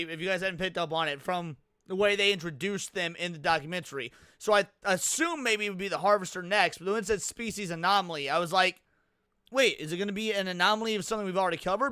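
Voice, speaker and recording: A man giving casual, slangy live reaction commentary as a streamer, excitable and prone to swearing. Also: high-pitched, smooth, no room sound. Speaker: streamer reacting to horror videos.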